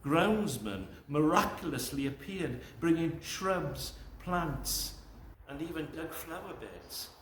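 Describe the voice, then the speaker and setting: A man reading his poetry outdoors in a measured, deliberate way. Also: on the high side, heavy reverb, slight background noise, poor audio. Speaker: poet. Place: outdoors outside a cafe.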